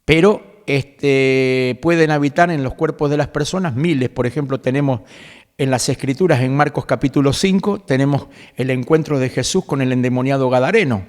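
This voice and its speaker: A man in his seventies with an Argentine accent, a pastor guest who explains scripture in a conversational preaching way, quoting verses and filling pauses with "este". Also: medium pitch, darkish tone, in a large hall. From Galicia, Spain. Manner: quotes scripture at length, fills pauses with "este".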